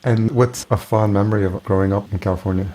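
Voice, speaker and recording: A man's voice: on the low side, fairly even intonation, fast talker, dark tone, poor audio. A man hosting a podcast, asking questions in an informal, attentive conversational way. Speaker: podcast host. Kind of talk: casual interview questions.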